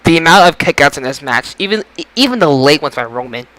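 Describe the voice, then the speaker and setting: Bright, higher-voiced man stammering through wrestling talk. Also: lively intonation, fast talker, poor audio. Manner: stammering, repeats phrases. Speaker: podcast host. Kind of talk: casual wrestling results discussion.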